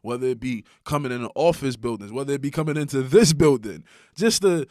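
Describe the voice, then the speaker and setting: A man with a mid-range voice co-hosting a casual podcast. He talks in slang with lively, animated storytelling and keeps checking in with his co-host. The sound is dry and close to the mic. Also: medium pitch, brisk, no echo. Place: hot room in a building.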